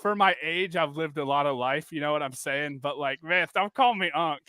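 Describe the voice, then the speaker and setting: A man in his twenties co-hosting a casual sports chat, animated and laughing easily, with slangy talk. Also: on the high side, fairly quick, clean tone, no room sound. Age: 20s. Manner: animated, laughs easily, says "brother" and "dude". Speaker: co-host of a sports show.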